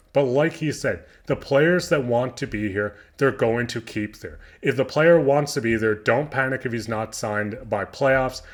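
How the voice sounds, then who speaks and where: A man hosting a hockey news channel, an eager fan going through quotes in loose, unscripted sports commentary, hoarse and losing his voice. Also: medium pitch.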